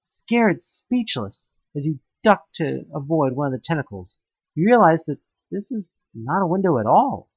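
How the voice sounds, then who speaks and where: A man's voice: a little high, very animated, moderate pace, a little mellow, slightly rough, no echo, muffled recording. A man reading a scripted second-person story as a narrator, with a theatrical, emphatic delivery that draws out words. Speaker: audio play narrator.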